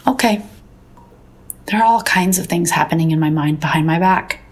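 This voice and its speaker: Audio drama role: disillusioned painter, lowish pitch, dry, aloof, cryptic delivery with a philosophical bent. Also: female voice, moderate pace. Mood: weary, resigned. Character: disillusioned, cryptic, world-weary woman painter. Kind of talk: naturalistic audio drama dialogue.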